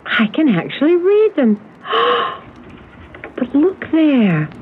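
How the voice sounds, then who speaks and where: Curious, animated wife on tinny radio. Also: female voice, high voice, slow, heavily acted. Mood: intrigued, excited. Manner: inquisitive, teasing, expressive. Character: curious, expressive wife, romantic amateur sleuth. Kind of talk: radio drama acting. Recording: tinny, hissy old radio broadcast recording.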